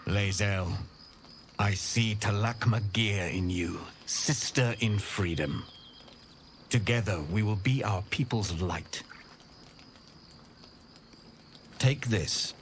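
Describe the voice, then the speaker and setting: Grave, slow-spoken alien rebel knight. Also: male voice, fairly low pitch, slight background noise. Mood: solemn, commanding. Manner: measured, grave, formal. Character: solemn alien male warrior knight turned secret rebel. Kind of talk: cinematic fantasy game acting.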